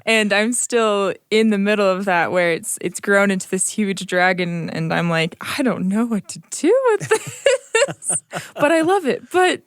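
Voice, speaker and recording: A woman's voice: medium pitch, sing-song, measured pace, no echo, studio quality. A young woman, an audio engineer guest, chatting casually in a playful, giggly way. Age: young adult. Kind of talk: casual chat.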